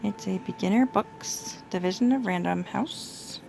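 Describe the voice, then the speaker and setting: A young adult woman reading a children's storybook aloud as a mother does, gently prompting a small child. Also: medium pitch, unhurried, creaky, soft background music, poor audio. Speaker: mother reading to her toddler.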